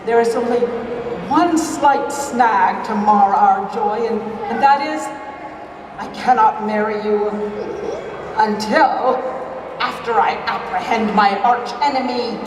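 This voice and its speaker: Woman doing a pompous mountie hero in a stage melodrama, slow n declamatory, super echoey, noisy. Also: on the high side, recorded from a distance. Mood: earnest. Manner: declamatory, pompous, halting alliterative delivery. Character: earnest, pompous Mountie sergeant hero, stiffly romantic. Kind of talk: hammy old-time melodrama stage performance. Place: live fair stage, recorded from the audience.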